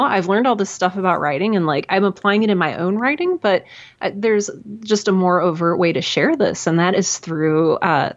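A woman with a fairly low voice talks as an interview guest in an earnest, effusive way. She speaks conversationally and slips into little quoted lines. The sound is slightly dull and lo-fi. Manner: earnest, effusive, animated self-quoting. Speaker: podcast guest, writer and mentor.

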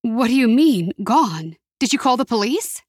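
A woman's voice: fairly high pitch, big pitch swings, moderate pace, no echo, studio quality, voice pushed up. A woman voicing a shaken counselor who fires off anxious, urgent questions. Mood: alarmed.

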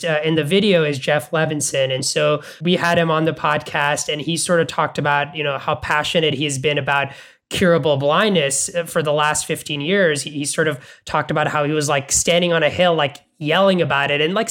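Brief: host from Bangladesh, mid-pitched, enthusiastic and conversational. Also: fairly bright tone. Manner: enthusiastic, thinks aloud with repeated words. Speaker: podcast host. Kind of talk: casual interview conversation.

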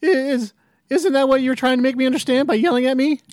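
A man's voice: very high-pitched, fast talker, darkish tone, no room sound, studio quality, pitched above the performer's own voice. A man doing a stammering nervous teen boy. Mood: pleading. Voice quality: stammering, anxious. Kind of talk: fan script reading.

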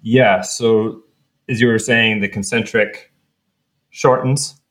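A man hosting a podcast, chatting in a relaxed way and thinking aloud as he explains. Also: fairly low pitch, slow. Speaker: podcast host, researcher. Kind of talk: casual podcast chat.

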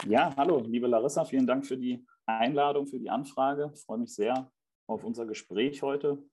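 A man's voice: medium pitch, normal speed, a little mellow, husky. A man in his late twenties from Germany, answering as a podcast guest in a conversational style. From Berlin, Germany. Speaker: podcast guest, real estate investment manager.

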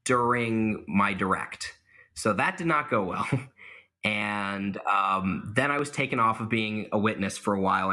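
A man's voice: lowish voice, bright, forceful tone, slightly rough. A young man answering interview questions casually, self-deprecating and laughing at his own stories, with plenty of fillers. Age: young adult. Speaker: guest, mock trial competitor.

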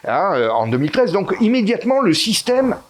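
Hesitant, animated Italian-born man. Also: high-pitched, brisk. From Italy. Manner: hesitant, frequent fillers and restarts, emphatic rises. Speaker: interview guest. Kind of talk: political interview conversation.

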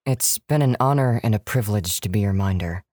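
A man's voice: fairly low pitch, expressive, fairly quick, darkish tone, lightly acted. A man in an audio drama playing a minder who speaks in a precise, matter-of-fact way.

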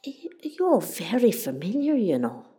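A woman acting a nosy, warm-hearted older Irish mother from West Cork in a radio play, chatty and exclamatory. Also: medium pitch, sing-song, unhurried. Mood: warm, probing.

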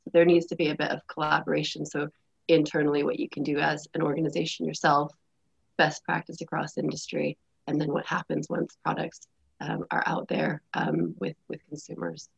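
A woman with a low, gravelly, husky voice, her throat worn and a cough hanging on. She explains in a measured way, with plenty of fillers. The sound is dull and lo-fi. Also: level tone. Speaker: sustainability panelist. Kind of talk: panel discussion.

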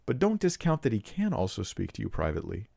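Man, low voice, earnest casual teaching, emphatic, dry close-mic sound. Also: huge pitch swings, fast talker, gravelly, a little mellow, no echo. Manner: earnest, frequent restarts, emphatic rises. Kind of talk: casual unscripted teaching talk.

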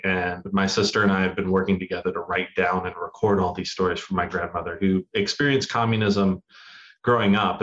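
Young man guest, low voice, dull lo-fi line, earnest storyteller. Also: fairly bright tone. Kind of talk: interview answers. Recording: dull lo-fi audio.

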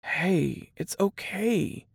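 A woman's voice: fairly low pitch, drawn-out words, gravelly, husky, no echo, clean studio sound, heavily acted. A woman doing a tense, protective husband. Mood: gentle. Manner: forced calm. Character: protective husband, tense with held-back anger. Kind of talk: audiobook character voice.

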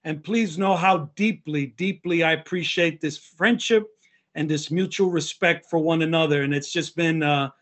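A man introduces his guest on a podcast in a measured, earnest way, pausing now and then with small fillers. His voice sits a little high for a man. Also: fairly bright tone. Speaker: podcast host. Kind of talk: warm formal interview introduction.

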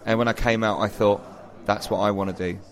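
A man's voice: on the low side, fairly even intonation, fairly quick. A man from England co-hosting a theatre podcast, bantering and telling stories with mock-earnest drama. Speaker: podcast co-host, actor.

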